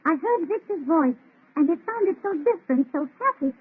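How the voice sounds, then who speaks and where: High-voiced, tender young fiancée. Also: female voice, lively intonation, normal speed. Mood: tender, happy. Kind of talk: old-time radio drama acting. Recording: tinny vintage radio recording.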